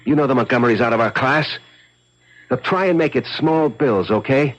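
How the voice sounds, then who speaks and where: A man's voice: mid-range voice, moderate pace, lightly acted. An actor playing a scheming, broke man on the run in a 1970s radio drama, with wry, slangy tough-guy talk. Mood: urgent, bossy. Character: scheming, broke, savage-tempered man on the run, hard-boiled. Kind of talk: 1970s radio drama acting, first-person narration and dialogue. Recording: muffled vintage radio broadcast, music underneath.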